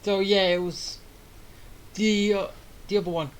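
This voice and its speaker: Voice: medium pitch, fairly even intonation, a little slow, slight background noise. A game streamer giving casual, offhand commentary with muttered asides while playing. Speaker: game streamer playing through a story quest. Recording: game music behind the voice.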